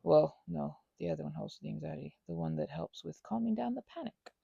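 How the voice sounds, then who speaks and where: An anxious, panicky woman rambling casually as a vlog host, halting and drifting off on tangents, with playful theatrical flourishes. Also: deep voice, lively intonation, vocal fry, warm tone, husky, no echo, lo-fi sound. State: unwell. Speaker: ASMR channel host.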